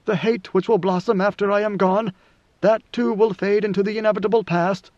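A man voicing a grave, ancient god-emperor in a storybook reading, with slow, solemn, oracular pronouncements. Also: sits high, steady tone, darkish tone, dry recording, muffled recording, voice pushed up, lightly acted. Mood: solemn, prophetic.

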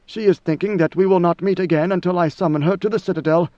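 A man voicing a cold, commanding ancient ruler in a measured, lofty way. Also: sits high, fairly even intonation, mellow, dry recording, highs cut off, natural voice. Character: ancient imperious male god-emperor, coldly commanding.

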